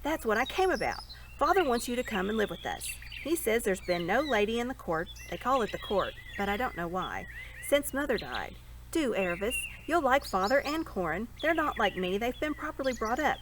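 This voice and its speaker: A woman's voice: fairly high pitch, big pitch swings, moderate pace. A woman voicing an eager, chatty young boy prince from a storybook, with breezy schoolboy slang. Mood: earnest, cheerful. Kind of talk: storybook character voice. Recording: birdsong and ambient noise behind.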